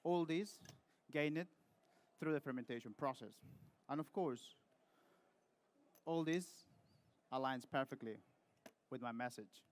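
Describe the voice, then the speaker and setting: Peruvian barista man presenting to judges. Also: mid-range voice. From Peru. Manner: measured, rehearsed delivery with short pauses. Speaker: competing barista. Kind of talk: scripted competition presentation to judges.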